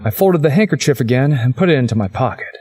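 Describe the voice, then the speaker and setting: A man's voice: medium pitch, animated, quick pace, over music, lightly acted. A man playing a wry teenage schoolboy who narrates his own supernatural visual novel story. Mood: dry, wry. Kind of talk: first-person visual novel narration and dialogue.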